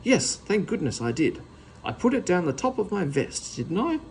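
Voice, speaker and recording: A man's voice: high voice, big pitch swings, moderate pace, gravelly, slight background noise, voice pushed up, exaggerated voice, poor audio. A man voicing a muddled, anxious, childish nephew from a children's story, flustered and protesting.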